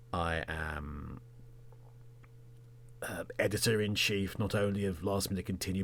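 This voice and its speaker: A man hosting a podcast, talking reflectively in a measured, conversational way, in short phrases. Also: low-pitched, slight background noise. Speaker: podcast host and presenter.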